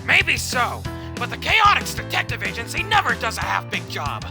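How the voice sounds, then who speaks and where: Bossy male cartoon detective boss man performing, very high-pitched and creaky, brisk, commanding, music underneath. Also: huge pitch swings, full character voice. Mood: polite, firm. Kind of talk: animated comic dub acting.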